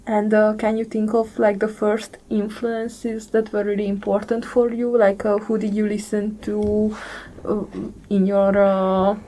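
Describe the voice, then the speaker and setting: Flat-voiced woman interviewer. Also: medium pitch, measured pace, few pauses, rough recording. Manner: hesitant, fills with "uh". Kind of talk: casual interview questions.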